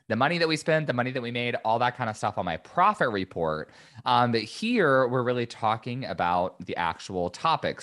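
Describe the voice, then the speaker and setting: Male podcast host, lowish register, friendly chatty delivery, faint scratchiness from a recently lost voice. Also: fairly bright tone. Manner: chatty, upbeat, frequent ums and asides. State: recovering from lost voice, slightly scratchy. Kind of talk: casual solo podcast talk.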